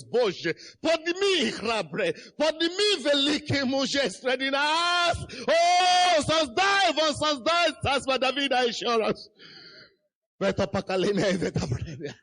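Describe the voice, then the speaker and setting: Fiery pastor, man, high voice, non-native accent, shouts and chants his sermon. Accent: non-native Russian. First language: not Russian. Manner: impassioned preaching, shouting and chanting. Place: church.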